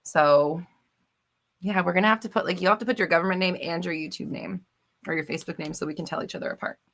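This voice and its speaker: A woman's voice: on the low side, fast, a bit bright. A woman hosting a casual live stream, reading viewer comments aloud with a bubbly, laughing manner. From United States. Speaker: livestream host.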